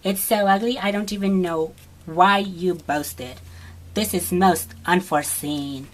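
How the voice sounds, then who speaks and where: A woman voicing solemn and scornful male storybook characters in slow, deliberate pronouncements. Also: lowish voice, voice pushed down. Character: solemn wizard and scornful beetle friends.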